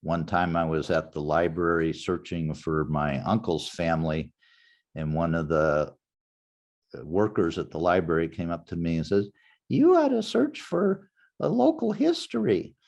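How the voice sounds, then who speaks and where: Older man, low voice, slow delivery, class instructor, conversational lecture. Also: animated, gravelly, darkish tone. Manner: anecdotal, conversational, walks through website steps. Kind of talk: informal lecture with screen demo.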